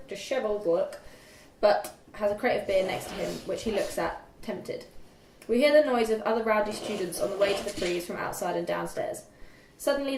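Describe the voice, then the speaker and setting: A woman's voice: medium pitch, darkish tone. Young woman reading stage directions, echoey room. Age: young adult. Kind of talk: scripted narration. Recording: echoey room, distant mic, faint noise.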